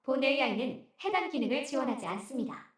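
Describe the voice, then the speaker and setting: A woman as high-pitched, echoing machine AI. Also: expressive, fast. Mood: impassive. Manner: formal, clipped announcements. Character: female AI of a delivery machine, impassive, officious. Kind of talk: game system announcement.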